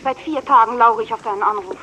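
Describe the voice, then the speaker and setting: A woman acting in a radio play as an impatient fiancée, reproachful and sharp, in a noisy telephone scene. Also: a little high, fast talker, lo-fi sound.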